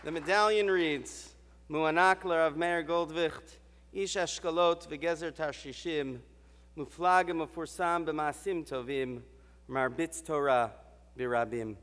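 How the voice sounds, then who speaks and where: Man, formal award speech, measured stately delivery, higher-pitched voice, reverberant ballroom. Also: a bit bright. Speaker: university president presenting an award. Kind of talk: formal ceremonial address. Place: large hotel ballroom. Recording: reverberant banquet hall.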